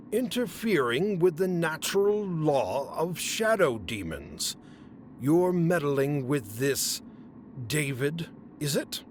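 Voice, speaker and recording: An actor playing a haughty, exasperated fae council official in a fantasy comedy audio drama, speaking formally and officiously. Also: male voice, on the high side, slight background noise, heavily acted.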